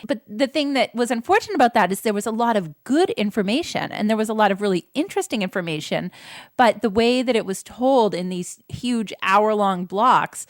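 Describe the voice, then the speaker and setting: A woman's voice: medium pitch, lively intonation, brisk, no room sound. Woman on a review podcast, rambly, repeats herself, mid voice, dry recording. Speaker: podcast panelist. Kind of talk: casual review discussion.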